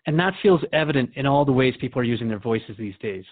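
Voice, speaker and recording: Radio drama host, played by a man: fast-paced, conversational, earnest, questioning, reflective. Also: medium pitch, expressive, phone-quality sound. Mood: curious. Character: earnest, questioning male radio interviewer, easily troubled.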